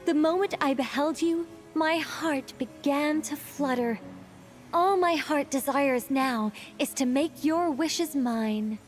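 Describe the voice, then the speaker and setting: Woman putting on an awestruck, high-voiced young wanderer. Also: soft background music. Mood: adoring. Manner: flowery, reverent, slow delivery.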